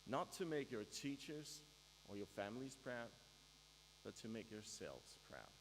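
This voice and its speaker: A man with a Danish accent gives a prepared graduation speech, measured and with dry humor. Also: mid-range voice, big pitch swings. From Denmark. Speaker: graduation speaker. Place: large ceremony hall. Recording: reverberant hall with faint mains hum.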